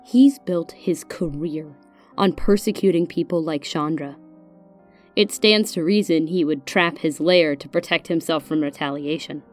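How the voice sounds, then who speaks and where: A woman's voice: deep voice, a little slow, faint music behind. A woman playing a composed, sensible older woman who speaks matter-of-factly in a fantasy audio drama. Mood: grave.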